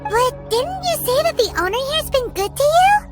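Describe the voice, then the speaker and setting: A woman's voice: very high voice, very animated, moderate pace. A woman voicing a small, childlike fairy companion in a video game, perky, cheeky and skeptical. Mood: chirpy, helpful. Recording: music behind the voice.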